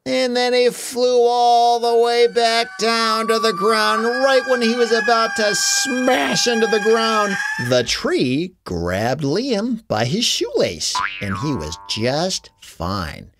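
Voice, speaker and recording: A woman narrating a children's story in an expressive, conversational, casual way. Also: fairly high pitch, slow pace, hardly any pauses, heavy background noise.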